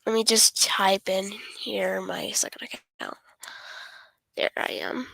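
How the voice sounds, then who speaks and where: Female game streamer, crisp bright tone with fry, breathy, hesitant rambling delivery, pitch swinging widely. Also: takes it slowly, no echo. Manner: hesitant, rambling, often trailing off and apologetic. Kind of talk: casual livestream chat.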